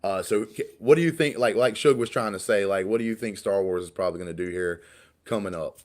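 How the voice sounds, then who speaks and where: Podcast co-host, man, late 30s, lowish voice, rambling and full of restarts. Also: fast talker. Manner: rambling, repeats words, stumbles and restarts. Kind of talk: casual podcast conversation.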